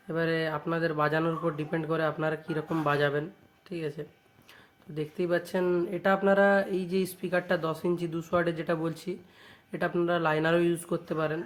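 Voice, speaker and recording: A man's voice: on the high side, a little hiss or hum. A man with a West Bengali accent casually showing off products as a shop owner vlogging, often adding little checking tag phrases. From West Bengal, India. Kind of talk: casual product showcase vlog.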